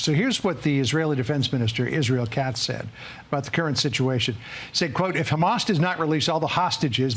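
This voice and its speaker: An American man working as a news anchor, reporting live and putting questions to a guest. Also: medium pitch.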